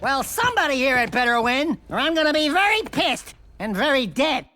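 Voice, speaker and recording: A man's voice: very high-pitched, big pitch swings, bright, forceful tone, dry recording, studio quality, heavily acted. A man voicing a small, wisecracking, cowardly sidekick creature in a video game, brash and exclamatory. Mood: indignant. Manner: mouthy, fast-changing, exclamatory. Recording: game dialogue over music.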